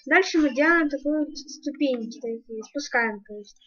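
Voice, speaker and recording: A woman's voice: high voice, moderate pace, a bit bright, soft background music. A woman giving a casual game building tutorial, thinking aloud and counting her steps as she goes, on a muffled home microphone. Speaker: YouTuber, gaming channel host. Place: indoors at home, screen-recording voice-over.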